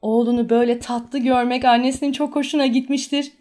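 A woman's voice: fairly high pitch, flat delivery, fast talker, mellow. A woman narrating a children's cartoon in a simple storytelling manner for small children.

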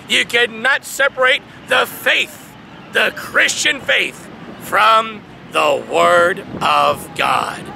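Preacher, man in his thirties: high, gravelly voice, slow and emphatic, outdoors with audible traffic behind. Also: bright, forceful tone. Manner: emphatic, preaching with swells and drops, reads verses aloud. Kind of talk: outdoor sermon reading scripture. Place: large open field outdoors. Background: distant traffic noise.

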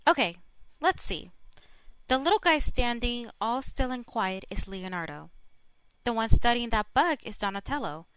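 Woman playing friendly inquisitive young scientist, bright crisp voice, slightly high, dry sound, comic dub. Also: animated, moderate pace, dry recording, poor audio. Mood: fond. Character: friendly, inquisitive young female lab worker. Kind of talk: amateur comic dub acting.

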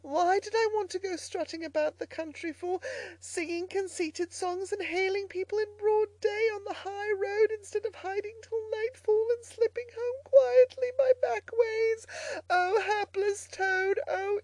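A reckless, self-important toad, played by a woman for a storybook. The voice is pushed high and delivered with theatrical, exclamatory flair. He is vain and given to self-pity, and the sound is dry. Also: unhurried, darkish tone, dry recording, natural voice, rough recording. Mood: wailing despair. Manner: melodramatic, exclamatory. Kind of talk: storybook character voicing.

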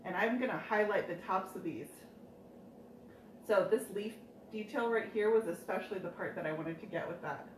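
A woman in her thirties hosting a casual live furniture painting demo, chatty and quick to laugh as she jokes with viewers. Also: mid-range voice, slight background noise. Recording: echoey room, mic at a distance.

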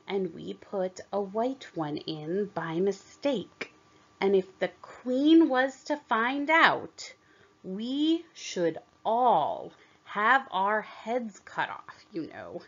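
A woman's voice: medium pitch, gravelly, fairly bright tone, highs cut off, full character voice. Woman playing a hesitant, humble storybook gardener man. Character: anxious, humble male gardener playing card.

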